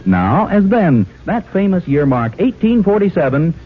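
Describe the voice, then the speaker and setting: An actor playing a folksy, confident commercial announcer in 1940s radio advertising style. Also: male voice, high voice, big pitch swings, moderate pace. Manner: folksy, emphatic salesmanship. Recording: tinny, noisy vintage radio recording.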